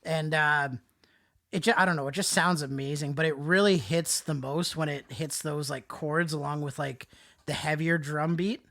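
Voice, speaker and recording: Man, nasal, somewhat high pitch, sharp bright tone, casual podcast host, informal and jokey. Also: steady tone, moderate pace, gravelly. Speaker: podcast host discussing music albums. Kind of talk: casual chat.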